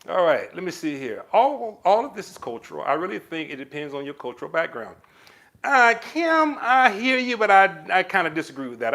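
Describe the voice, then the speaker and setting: A man hosting a casual live stream, reading viewer comments and giving relationship advice, calling viewers baby. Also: fairly high pitch. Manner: animated, addresses viewers with pet names like baby. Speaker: livestream host answering viewer comments.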